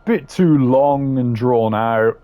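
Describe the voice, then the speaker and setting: A man's voice: medium pitch, slow pace, dull audio. A man giving casual, slangy tier-list commentary in a blunt, opinionated way. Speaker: commentator ranking game voice lines.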